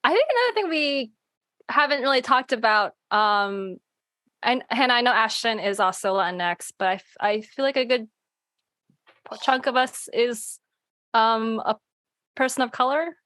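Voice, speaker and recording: Female, somewhat high pitch, unhurried, halting word-searching, casual discussion, dry recording. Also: sharp tone, no room sound. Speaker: podcast panel speaker.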